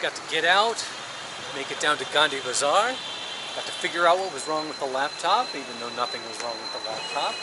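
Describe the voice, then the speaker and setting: A man's voice: on the high side, animated, brisk. A man chatting casually as he vlogs a ride through city streets in an auto rickshaw. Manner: easygoing, chatty, thinks aloud. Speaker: vlogger. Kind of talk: casual travel vlog chat. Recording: loud street noise behind.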